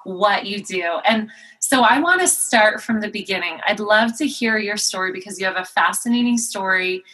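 A woman's voice: mid-range voice, pushed and bright, rough recording. A woman hosting a podcast interview, enthusiastic and gushing, stopping and restarting often. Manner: enthusiastic, gushing, halting with fillers. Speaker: podcast host.

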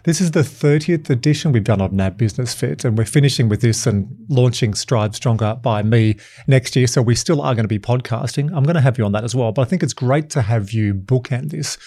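Male podcast host, mid-pitched voice, upbeat and conversational interviewer. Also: fairly quick, hardly any pauses, mellow.

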